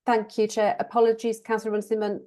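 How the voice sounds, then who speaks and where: A woman with a croaky voice, a council officer politely explaining procedures at a committee meeting. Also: on the high side, completely flat delivery, fast talker, warm tone.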